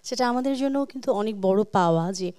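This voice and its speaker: A woman with a Bangladeshi accent hosts a music talk show in a soft-edged, mellow tone. She speaks quickly and conversationally, often circling back over her phrases in a halting way. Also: medium pitch, animated, clean tone. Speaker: host.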